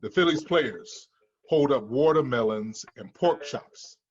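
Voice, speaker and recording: A man's voice: medium pitch, animated, measured pace, dull audio. A man reading screenplay stage directions as scripted narration at a table read.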